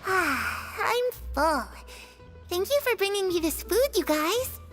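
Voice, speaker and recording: A woman voicing an innocent, confused young ghost girl in a video game, speaking in a soft, childlike, wistful manner. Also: very high voice, sing-song, slow pace, over loud music, exaggerated voice. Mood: friendly.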